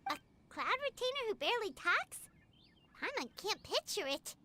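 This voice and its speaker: A woman voicing a small, excitable, childlike companion creature in a video game, with a chirpy, exaggerated way of talking. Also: extremely high pitch, moderate pace, a little hiss or hum, heavily acted. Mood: curious. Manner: chirpy, exaggerated, refers to self in third person. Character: small floating childlike companion, excitable, talks of herself by name. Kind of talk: video game character voice acting. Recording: music behind the voice.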